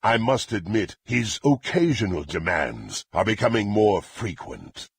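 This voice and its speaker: An actor playing a brutal, threatening warrior in a fantasy video game, with a raspy voice and imperious, archaic diction. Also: male voice, fairly low pitch, big pitch swings, measured pace, full character voice. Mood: grim, brooding. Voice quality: gravelly, raspy. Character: brutal, menacing half-orc warrior serving a demonic patron.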